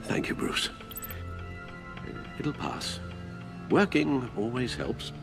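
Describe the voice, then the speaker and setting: An actor playing a loyal older butler in a video game, formal and dryly witty, a fretful caretaker. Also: male voice, medium pitch, big pitch swings, slow pace, creaky, heavily acted. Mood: shaken, reassuring. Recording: music behind the voice.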